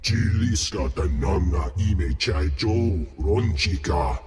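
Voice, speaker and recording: A man's voice: expressive, takes it slowly, a little mellow, in a large hall. A man voicing a huge, boastful slug-like alien crime lord who speaks only in an alien tongue, for a video game cut-scene. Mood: imperious. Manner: booming alien-language speech.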